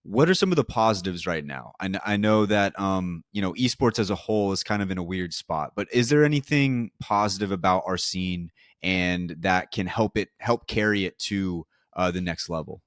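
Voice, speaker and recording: A man's voice: low voice, lively intonation, moderate pace, few pauses, no room sound, studio quality. A man co-hosting a podcast, asking interview questions in a casual way.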